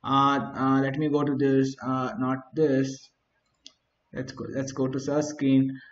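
A man's voice: medium pitch, completely flat delivery, slow. A man teaching a coding tutorial over his screen, talking through each step and often asking "right?" as he thinks aloud. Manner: frequent restarts and fillers while thinking aloud. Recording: echoey, dull home recording.

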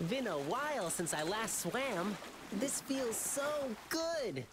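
An actor playing a youthful, righteous hero in a video game, earnest and expressive. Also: male voice, sits high, takes it slowly, background noise, heavily acted. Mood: wistful, delighted. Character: youthful heroic lion dancer boy, spirited and righteous.

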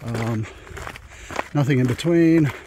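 A man's voice: mid-range voice, takes it slowly. A man vlogging as he walks a long pilgrimage route, talking through his plans in a rambling, thinking-aloud way. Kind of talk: casual walking vlog. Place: outdoors on a rural dirt track. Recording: noisy outdoor walking recording. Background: rural outdoor noise.

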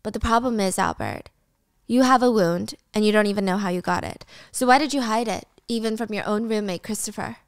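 A woman storyteller voices a quietly smug young woman. She reasons calmly and probes in a measured way, in a high, dry voice suited to storytelling. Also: clear, resonant tone, dry recording, natural voice. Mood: calm, probing. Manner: measured, probing.

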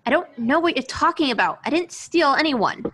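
A woman's voice: high-pitched, animated, moderate pace, a bit bright, a little hiss or hum. A woman reading the role of a guarded, weary young love interest at an amateur table read, understated and wry. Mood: indignant. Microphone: video call.

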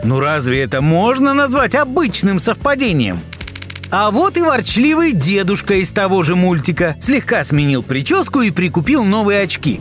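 Animated high-pitched man narrating over music. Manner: playful, exclamatory, addressing the viewer directly. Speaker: narrator of an entertainment list video. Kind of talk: scripted narration. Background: background music.

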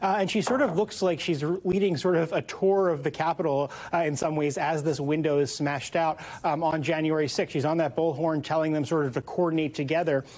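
A man's voice: on the high side, fairly even intonation, brisk, hardly any pauses, a little mellow. An American man in his thirties, a reporter explaining things conversationally in a live news interview with loose, informal phrasing. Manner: informal, loosely hedged phrasing with "sort of" and "you know". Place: TV news studio.

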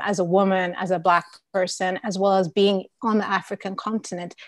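A woman's voice: mid-range voice, no echo, clean studio sound. A middle-aged woman who grew up in Canada, speaking as a curator on a video call in an articulate, reflective way. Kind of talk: panel discussion on video call. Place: room at home. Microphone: video call with earphones.

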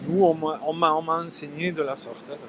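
A man in his thirties, earnest and affirming, with a slightly high voice. He speaks into a handheld mic outside a shop at night, with faint street noise behind. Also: fairly even intonation, a little fast. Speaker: passerby interviewee. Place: shopfront on a city street at night.

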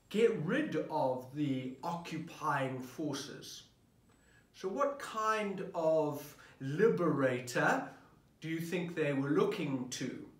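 A middle-aged man, a parish priest, reflects slowly on scripture, his somewhat high voice rising and falling with animation. He poses questions to the listener as he works through the readings. The camera sits at a distance in a roomy office. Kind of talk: sermon preparation talk. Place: office at a desk, indoors. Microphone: camera at desk distance.

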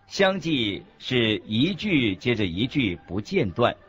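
A man playing a Buddhist monk teacher in a scripted radio lecture, husky, expressive and didactic. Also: mid-range voice, takes it slowly. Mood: earnest teaching. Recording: dull lo-fi recording with faint music.

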